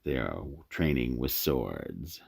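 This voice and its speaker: A man narrating a radio drama in a measured, storytelling manner. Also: a bass voice, big pitch swings, creaky, husky.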